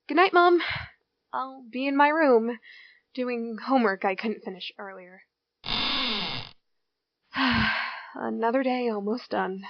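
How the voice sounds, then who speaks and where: A woman playing a bored small-town teenage girl, voicing her thoughts in a slow, inward way. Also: fairly high pitch, dry recording, muffled recording. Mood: wistful.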